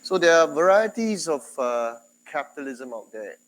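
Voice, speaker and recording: A man's voice: a little high, normal speed, poor audio. Singaporean man giving a chatty lecture. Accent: Singaporean English. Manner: chatty, animated, quotes others in dialogue. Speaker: university lecturer.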